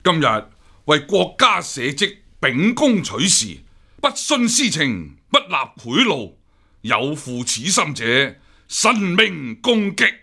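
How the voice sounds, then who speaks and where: A man with a Hong Kong Cantonese accent playing an unhurried, courteous senior examiner in a radio drama, measured and formal. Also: fairly high pitch, big pitch swings, gravelly, sharp tone, slightly rough, full character voice. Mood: solemn.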